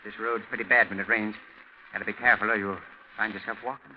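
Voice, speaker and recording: An actor playing a folksy, cautioning roadside stranger with a hesitant manner in a 1940s radio drama. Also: male voice, lowish voice, flat delivery, fast talker, lightly acted. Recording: muffled 1940s radio recording with noise.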